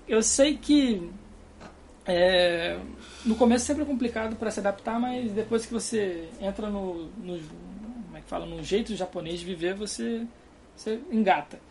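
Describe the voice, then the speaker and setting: A man's voice: high-pitched, moderate pace, darkish tone, slight background noise. A young man from Brazil with a Carioca accent, chatting casually and rambling, laughing often, talking from a dorm room. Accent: Carioca Brazilian Portuguese. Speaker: guest. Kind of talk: casual chat.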